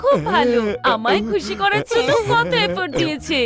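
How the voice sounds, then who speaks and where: A woman's voice: very high voice, big pitch swings, a little fast. A woman voicing a sweet young female cartoon kitty in a girlish manner. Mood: pleased. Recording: loud music behind the voice.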